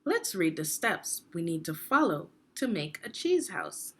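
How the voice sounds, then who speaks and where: Slow, expressive woman reading to children. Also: lowish voice, husky. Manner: deliberate, explanatory, checking in with questions. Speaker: reading teacher, narrator of a children's book read-aloud. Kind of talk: instructional read-aloud for young children.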